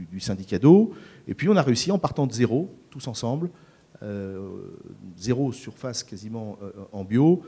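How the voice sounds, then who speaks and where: A man's voice: on the low side, mellow, husky. A middle-aged man from France, a farmer speaking on a conference panel into a handheld mic, hesitant with many fillers and repeated words. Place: conference stage before an audience. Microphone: handheld microphone.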